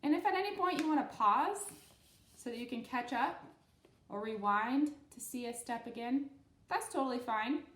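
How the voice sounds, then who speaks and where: A woman's voice: high voice, expressive, normal speed, fairly bright tone, slight background noise. Echoey-room woman hosting kids' storytime. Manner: simple, encouraging explanations addressed to children. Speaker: children's storytime host and craft instructor. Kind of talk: read-aloud story and step-by-step craft instruction for kids. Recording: roomy, echoey room sound.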